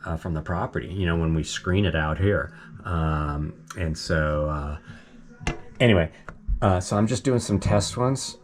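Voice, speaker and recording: A man with a very low, deep voice talks casually about his property. He rambles with plenty of fillers and restarts and chuckles now and then. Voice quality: deep voice that carries. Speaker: vlogger giving a property update. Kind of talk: casual rambling talk to camera. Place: home property in the Pacific Northwest forest.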